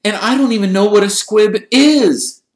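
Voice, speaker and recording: Man doing a high, protesting schoolboy. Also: moderate pace, reverberant, lightly acted. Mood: indignant. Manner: loud, protesting. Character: earnest, defensive schoolboy wizard.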